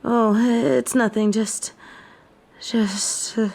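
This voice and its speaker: Woman acting a haughty fallen angel, gravelly edge. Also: on the high side, steady tone, very slow, darkish tone, full character voice. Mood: troubled, dazed. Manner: formal, haughty, theatrical diction. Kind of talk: scripted audio roleplay acting.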